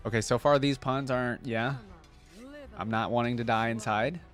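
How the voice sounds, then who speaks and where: A woman hosts a casual reaction video in a very low voice. She makes informal asides and repeats lines back, with music faintly playing behind her. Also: steady tone, normal speed.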